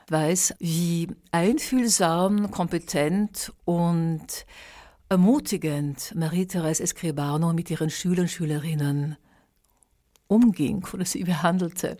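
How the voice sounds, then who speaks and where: A woman's voice: lowish voice, dark tone. A woman radio host presenting a tribute, speaking earnestly and stringing together lists of descriptions, often restarting her phrases.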